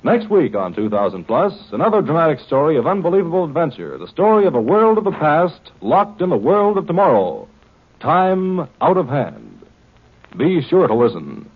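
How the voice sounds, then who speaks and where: A man's voice: fairly high pitch, huge pitch swings, moderate pace, husky. A man announcing the next episode and credits of an old radio show. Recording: tinny old radio broadcast with music.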